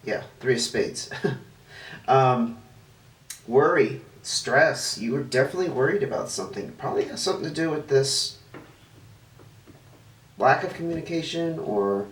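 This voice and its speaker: A man hosting his own channel, giving a casual tarot reading to camera, chatty and thinking aloud while he lays out cards, a bit stuffy and sniffling. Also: medium pitch. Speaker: tarot reader, channel host. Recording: roomy, distant mic, faint noise.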